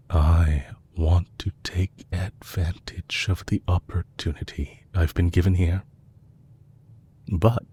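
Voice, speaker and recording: A man playing a composed, courteous villain leader who is possessive and lovestruck, speaking formally and deliberately in an intimate romantic audio roleplay. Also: very deep voice, dark tone, slight background noise, full character voice. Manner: formal, deliberate, courtly phrasing with long pauses.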